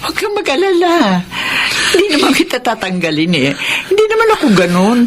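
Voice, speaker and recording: A woman playing a kind, fair-minded restaurant boss in a radio drama, speaking in a calm, reassuring manner. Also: fairly high pitch, fairly quick, background noise. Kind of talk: Filipino radio drama acting.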